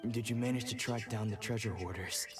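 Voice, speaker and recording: A woman voicing a stern, disillusioned sword fighter in a video game, speaking gravely and formally. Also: rumbling low, fairly even intonation, a little fast, music in the background. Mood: brooding.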